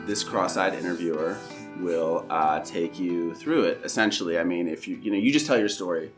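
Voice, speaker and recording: A man's voice: deep voice, normal speed, over music. A man speaking as an informal, curious podcast host. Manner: informal, curious, interjecting short questions. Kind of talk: casual interview with scripted introduction.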